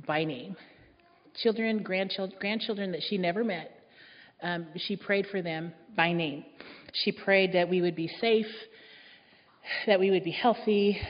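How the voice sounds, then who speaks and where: Female speaker, reflective sermon storytelling, long hall reverb. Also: medium pitch. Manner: reflective, warm storytelling with soft laughs. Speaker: speaker giving a church talk. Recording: dull echoey hall sound.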